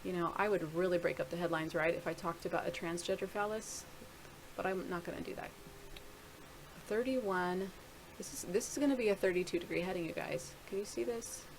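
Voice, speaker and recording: Rambling woman with a gravelly voice. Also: on the low side, a little fast, slight background noise, low-quality recording. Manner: rambling, thinking aloud with many restarts. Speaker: video blogger. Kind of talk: casual screen-share commentary.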